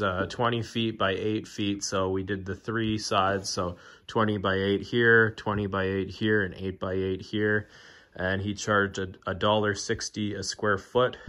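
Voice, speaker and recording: A man's voice: lowish voice, a bit bright. A man casually walking through his shipping container build, rambling with lots of fillers and restarts, filmed on a handheld phone inside the container. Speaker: DIY video maker. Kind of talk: casual walkthrough vlog. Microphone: phone camera held in hand.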